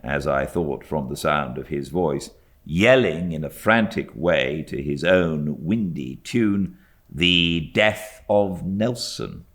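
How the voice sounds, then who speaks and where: A British man reading classic literature aloud as an audiobook narrator, with expressive storytelling delivery. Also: very deep voice, slow pace. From Britain.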